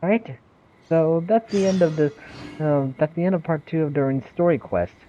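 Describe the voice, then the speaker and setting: A man livestreaming a game, chatting casually in a hesitant, halting way on a lo-fi microphone. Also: on the high side, warm tone, faint music behind. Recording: dull, lo-fi microphone.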